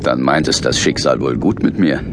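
A man's voice: very deep voice, normal speed, vocal fry, background noise. An actor playing a brooding, determined male investigator in an audio drama. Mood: smitten, reserved. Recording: music behind the voice.

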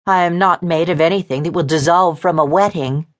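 Casting: spirited young period heroine, performed by a woman narrator, raised pitch, indignant, emphatic, defiant, audiobook romance. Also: brisk, natural voice. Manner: emphatic, snappy, defiant, archaic polite wording. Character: headstrong, indignant young Regency lady with a quick temper.

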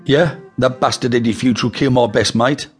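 A man's voice: mid-range voice, steady tone, brisk, breathy edge, soft background music, voice pushed up, natural voice. A man voicing a nervous, lying male crook from Birmingham who talks eagerly and pushily, with a Birmingham accent. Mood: urgent. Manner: eager, pushy, blurting.

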